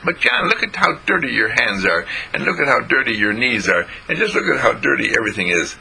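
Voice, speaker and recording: A man's voice: mid-range voice, sing-song, fairly quick, vocal fry, bright, forceful tone, slight background noise, pitched above the performer's own voice, heavily acted, poor audio. A man voicing a curious, bossy six-year-old girl in a told story. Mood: nosy.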